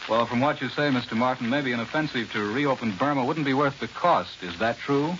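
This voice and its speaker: A man with an American accent and a middle-pitched voice works as a 1940s radio news anchor. His delivery is scripted and formal to the medium. The old recording is band-limited, with a faint hiss. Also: fairly quick, muffled recording. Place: New York radio studio.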